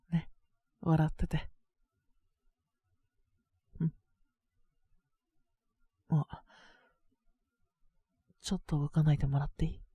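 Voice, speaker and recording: A woman playing a boyish, slightly mean but doting teenage girlfriend in a romantic audio drama, speaking in a soft, intimate, teasing way. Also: low-pitched, fairly even intonation, very slow, long pauses, husky, no echo, muffled recording, heavily acted. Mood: gentle, reassuring. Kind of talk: Japanese romantic situation voice acting.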